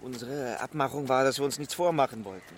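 An actor playing a cynical, scheming swindler in a radio drama, speaking in a declarative, sardonic, coolly philosophical way. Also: male voice, medium pitch, brisk, slight background noise, natural voice. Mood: insistent.

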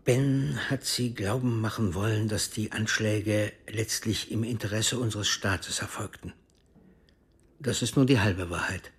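Secret service officer in a radio play, really husky, lowish voice, measured n formal. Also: male voice, exaggerated voice. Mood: grave, measured. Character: cool secretive East German intelligence officer, frankly world-weary. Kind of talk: radio drama acting.

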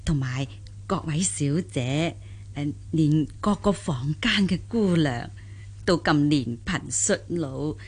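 Slow, husky, humble old countrywoman. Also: deep voice, expressive, exaggerated voice. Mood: humble gratitude. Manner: deferential, rambling, sighing old-woman delivery. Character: humble, grateful elderly countrywoman, talkative and deferential. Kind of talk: Cantonese radio drama acting.

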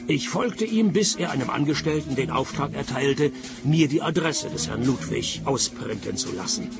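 An actor in a radio play playing a wry, world-weary private detective in a deadpan, sardonic manner. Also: male voice, fairly high pitch, normal speed, creaky, music in the background. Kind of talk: radio play narration and dialogue.

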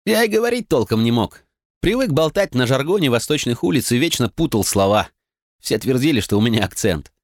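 A man's voice: medium pitch, big pitch swings, fairly quick, no room sound, clean studio sound, voice pushed up. A man voicing a modest, streetwise young man in an audiobook, talking in a self-deprecating, eager way. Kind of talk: audiobook character reading.

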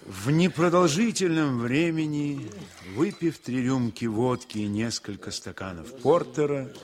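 An actor as the solemn narrator of a war story, giving measured, expressive scripted narration in a radio drama. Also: male voice, medium pitch, close to an everyday voice. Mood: measured, wry.

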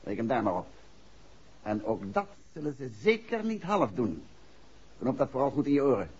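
An actor playing a shrewd, urbane and authoritative amateur detective in a 1960s radio drama. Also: male voice, mid-range voice, sing-song, normal speed, darkish tone, slightly rough. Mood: stern warning. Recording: dull, faintly noisy 1960s radio recording.

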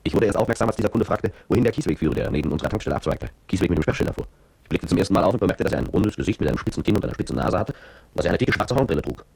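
Low, gravelly man's voice, that of a cowed petrol station attendant in a radio play. He confesses at a very quick pace, brooding and bitter, with an old soldier's fear underneath. The sound is close and dry. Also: lively intonation, darkish tone, no echo, exaggerated voice. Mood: wary recollection. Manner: brooding, confessional first-person narration. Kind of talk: German radio drama monologue.

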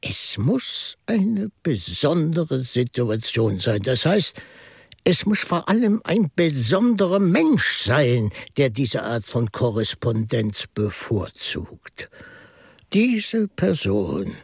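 Radio-play priest detective: husky, lively intonation, unhurried, musing and whimsical. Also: male voice, mid-range voice, no room sound, studio quality, full character voice. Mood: whimsical, explaining. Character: shrewd, whimsical male priest turned amateur detective. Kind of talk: German radio drama dialogue.

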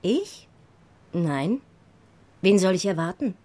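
A woman's voice: on the low side, sing-song, slow pace, warm tone, full character voice. An actress playing a concerned, inquisitive teenage girl from a street gang in a crime radio drama. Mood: defensive.

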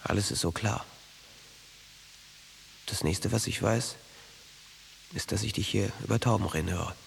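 Ein Schauspieler in einem Hörspiel spielt einen verträumten jungen, traumatisierten Soldaten, der in kurzen, schlichten Sätzen erzählt. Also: male voice, fairly low pitch, a little slow, vocal fry, slight background noise, low-quality recording. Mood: hushed recounting. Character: dreamy young traumatized soldier who believes he can fly. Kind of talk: naturalistic German radio drama acting.

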